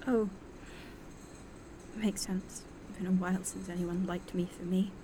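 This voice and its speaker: A woman's voice: lowish voice, fairly even intonation, unhurried, noisy background, natural voice. An actress playing a guarded, sarcastic woman scientist in an audio drama, speaking in a dry, blunt way. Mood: bitter. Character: jaded, sarcastic, guarded female scientist, quick to bristle.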